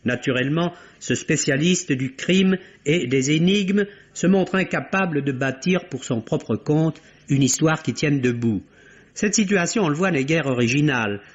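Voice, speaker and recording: A man reads a film review. His delivery is measured and literary. The sound is a muffled old radio recording with limited detail. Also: on the high side, slightly rough. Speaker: film critic.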